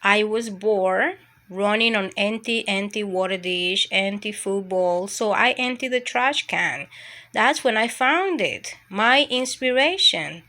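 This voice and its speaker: A woman's voice: mid-range voice, lively intonation, takes it slowly, bright, forceful tone, full character voice. A woman voicing a mischievous, adventure-hungry dog who tells its own storybook escapade. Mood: cheeky, excited. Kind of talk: storybook first-person narration.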